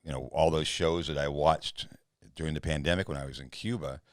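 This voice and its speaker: A man's voice: rumbling low, steady tone, a little fast, dry recording, clean studio sound. A man hosting a podcast, chatting and asking his guest questions. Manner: conversational, asks questions. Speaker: podcast host. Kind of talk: casual interview chat.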